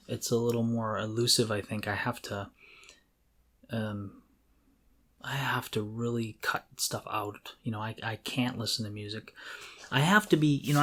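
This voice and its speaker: A middle-aged man co-hosting a podcast, talking casually and reflectively in an earnest, halting way as he thinks aloud. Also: medium pitch. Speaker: podcast co-host. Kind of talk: casual, reflective talk about creativity.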